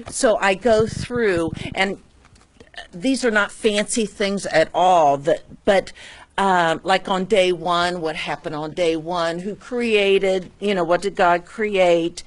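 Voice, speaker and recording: A woman who teaches kindergarten, sharing in a casual group talk in a halting way with many pauses. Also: medium pitch.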